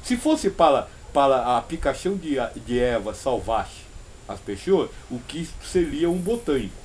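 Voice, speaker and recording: A man's voice: mid-range voice, big pitch swings, normal speed, a little mellow, slight background noise. A Brazilian man leading an informal spiritual discussion, answering with emphatic repetition and rhetorical questions. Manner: emphatic, repeats phrases, uses rhetorical questions.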